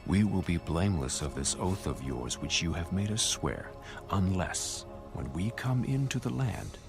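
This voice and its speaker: A man playing a stern ancient Israelite spy in a dramatized Bible reading, solemn and formal. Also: low voice. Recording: background music under the voice.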